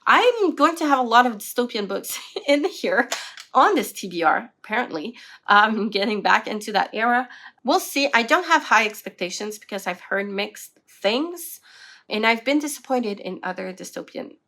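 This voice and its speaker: A woman's voice: on the high side, lively intonation, moderate pace, bright, forceful tone. Chatty French Canadian young woman. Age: young adult. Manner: chatty, rambling, lots of "like" fillers. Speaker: book vlogger. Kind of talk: casual book chat to camera.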